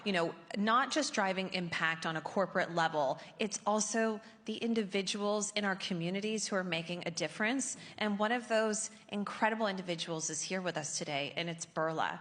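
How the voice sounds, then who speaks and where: Woman hosting a panel, really bright forceful voice, chatty, lots of hall reverb. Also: medium pitch, brisk, hardly any pauses. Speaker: panel moderator. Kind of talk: conversational stage moderating. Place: large conference hall stage. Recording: echoey hall with long reverb.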